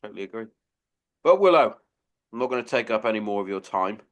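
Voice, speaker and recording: A man's voice: fairly low pitch, lively intonation, quick pace, slight background noise. A man hosting a podcast in a casual, blunt and sweary chat. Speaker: podcast host.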